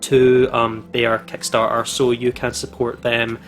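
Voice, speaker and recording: A man presents a gaming video in a chatty, informal manner. His voice sits at an average pitch as he gives casual commentary, with game music softly behind him. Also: completely flat delivery, measured pace. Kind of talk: casual let's play commentary and reading aloud.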